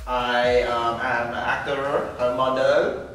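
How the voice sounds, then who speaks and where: A man's voice: medium pitch, little pitch movement, slow pace, a bit bright. Playful Bajan young man, roomy and distant. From Barbados. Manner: playful, joking, loose. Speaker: co-host. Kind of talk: casual chat. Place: indoor living room, on a couch. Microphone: far camera mic. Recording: echoey room, distant mic, faint music.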